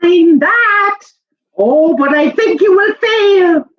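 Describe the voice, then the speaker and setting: Super high screechy bully animal from a kids book, loud n mocking, drawn out words, muffled audio. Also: female voice, lively intonation, takes it slowly, heavily acted. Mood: scornful, jeering. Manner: loud, jeering, exclaiming. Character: shouting, scornful, mocking jungle monkey and bird bully. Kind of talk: children's storybook read-aloud.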